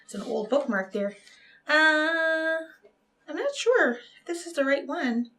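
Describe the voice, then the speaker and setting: A woman playing a nervous, rambling and earnest romance novelist in an improvised comedy interview, halting and trailing off. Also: high voice, very animated, pushed and bright, exaggerated voice. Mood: flustered. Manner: flustered, halting, chatty, trailing off.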